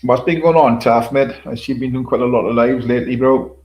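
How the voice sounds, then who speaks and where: A man's voice: mid-range voice, steady tone, a little fast, muffled recording, slight background noise. An older man hosting a casual livestream, rambling, repeating himself, swearing casually and chuckling to his viewers. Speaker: livestream host. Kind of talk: casual solo livestream chat.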